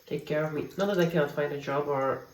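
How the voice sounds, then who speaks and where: A woman in her thirties whose first language is Polish, speaking in a soft, candid, confiding way in a personal diary vlog at home. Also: deep voice, fast, echoey room, recorded from a distance. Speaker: vlogger. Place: home, at night.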